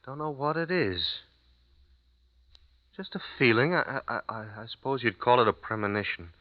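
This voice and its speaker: An actor playing an American writer husband, speaking with an American accent in a hesitant, stammering way, on an old lo-fi radio recording. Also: male voice, medium pitch, animated, moderate pace, close to an everyday voice. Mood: uneasy. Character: American writer husband, uneasy, young adult man. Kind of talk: old-time radio drama acting.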